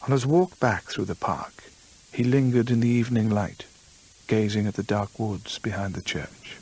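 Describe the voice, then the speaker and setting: An actor playing a grave gentleman storyteller, narrating a radio drama in measured, literary phrases. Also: on the low side, slightly rough, low-quality recording. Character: measured gentleman storyteller recounting another man's ghostly fate.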